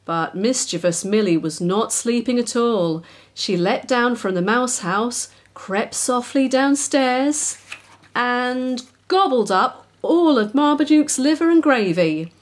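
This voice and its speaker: A woman's voice: on the high side, expressive, slow pace. A woman narrating a children's story expressively as its author.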